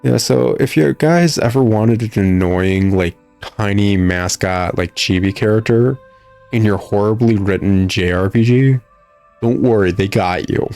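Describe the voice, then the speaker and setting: A man's voice: on the low side, measured pace, vocal fry, darkish tone, faint music behind. A man giving sarcastic, exasperated gameplay commentary. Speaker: gamer commentating a playthrough. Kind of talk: mocking gameplay commentary, reading game text aloud.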